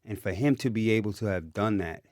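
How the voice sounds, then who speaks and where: A man's voice: fairly low pitch, brisk, a little mellow, no room sound, studio quality. A man hosting a podcast in casual chat, emphatic and enthusiastic, repeating phrases for emphasis. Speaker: podcast host.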